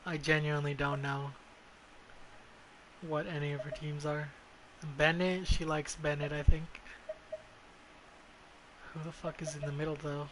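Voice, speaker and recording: A man's voice: a little high, level tone, unhurried, pauses often, a little hiss or hum, low-quality recording. A man doing casual gameplay commentary as a streamer, blunt and sweary, often muttering to himself. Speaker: video game streamer. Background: game music.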